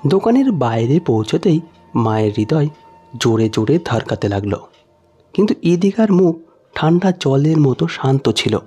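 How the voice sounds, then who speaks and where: A man's voice: on the high side, big pitch swings, normal speed, dark tone, breathy edge, faint music behind. A man narrating a scripted story in a dramatic storytelling style.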